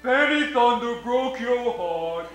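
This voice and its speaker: A man's voice: very high-pitched, lively intonation, heavily acted. A man playing a hulking, dim-witted henchman in a comedic radio play, speaking in simple broken phrases. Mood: dim, eager. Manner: slow, simple-minded broken speech. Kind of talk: comedic old-time radio play acting. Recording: roomy stage, faint music.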